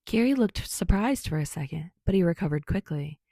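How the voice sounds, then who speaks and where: Creaky, low-voiced woman narrating. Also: lively intonation, brisk, mellow, dry recording, studio quality. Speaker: fan fiction narrator. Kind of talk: scripted story narration.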